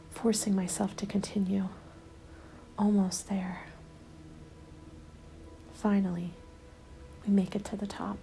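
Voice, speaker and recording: A woman reads a scripted ASMR roleplay in a soft, breathy whisper with a creaky edge. Her delivery is hushed and very even, unfolding slowly and deliberately. Music plays clearly behind her. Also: medium pitch, low-quality recording. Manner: slow, hushed, deliberate storytelling. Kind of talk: ASMR scripted first-person story narration. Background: instrumental music.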